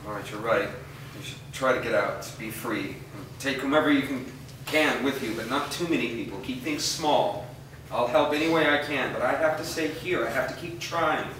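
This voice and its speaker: A man's voice: medium pitch, normal speed, fairly bright tone, close to an everyday voice. Guy acting tired regretful father in a stage reading, distant, lots of echo and noise. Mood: weary, regretful. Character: weary, regretful father clinging to a lost cause. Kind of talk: staged reading of a drama script. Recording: noisy, very echoey hall from far off.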